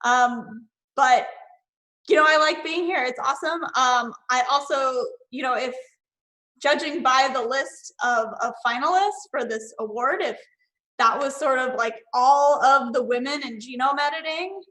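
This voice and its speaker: A woman's voice: high voice, a little slow, sharp tone, rough recording. A woman in her thirties from Northern California, chatting casually as a panelist over a video call with many fillers and restarts. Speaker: panelist and award finalist.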